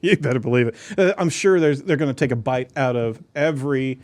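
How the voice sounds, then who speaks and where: A man hosting a podcast, jokey and quick to laugh, often stumbling over his words as he reads promos and asks questions. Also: mid-range voice, no room sound. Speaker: podcast host. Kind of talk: casual interview and promo read.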